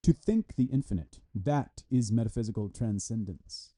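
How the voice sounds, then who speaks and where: A man reading a scholarly text aloud with deliberate, halting phrasing. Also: on the low side, dark tone. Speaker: reader of a philosophy article. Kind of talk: read-aloud scholarly text with brief explanatory asides.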